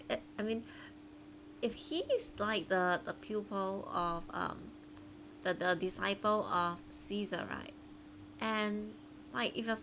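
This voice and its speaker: A woman's voice: medium pitch, background noise. A woman live streamer giving casual gameplay commentary, thinking aloud in a chatty way.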